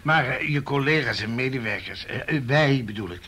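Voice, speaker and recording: An actor playing a wry, sardonic office colleague in a naturalistic radio drama, hesitant and dryly ironic. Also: male voice, medium pitch, expressive, fairly quick, pushed and bright, slight background noise.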